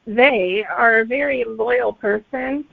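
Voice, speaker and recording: A woman gives conversational answers as a guest author. Her slightly high voice has a lot of vocal fry. The audio is narrow, like a phone line. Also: slow, dry recording.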